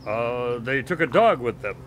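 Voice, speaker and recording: A man playing an earnest, measured doctor and detective's sidekick in a radio drama. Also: medium pitch, animated, a bit bright, a little hiss or hum. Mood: puzzled, thoughtful. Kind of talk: radio drama dialogue and storytelling.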